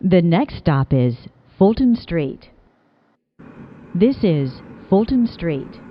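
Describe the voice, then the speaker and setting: A woman reading scripted subway announcements in measured, spliced-together phrases, as a train announcer. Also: fairly low pitch, very animated, a little hiss or hum. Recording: dull lo-fi announcement clips.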